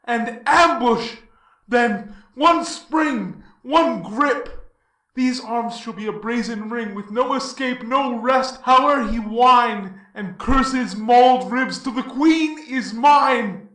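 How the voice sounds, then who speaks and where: A very high-voiced, forceful male hero declaims Greek tragedy with grand passion. He is a mighty demigod, indignant and resolute. The sound is echoey, as if he stands away from the mic. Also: takes it slowly, full character voice. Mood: heroic resolve. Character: mighty heroic demigod, grieved, indignant, then boldly resolute. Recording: roomy echo, distant from mic.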